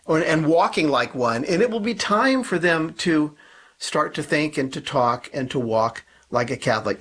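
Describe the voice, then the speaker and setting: A man's voice: fairly high pitch, a bit bright, slightly rough. A man on a podcast panel explaining religious ideas conversationally, building his points emphatically through repetition. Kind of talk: conversational religious teaching with scripture reading.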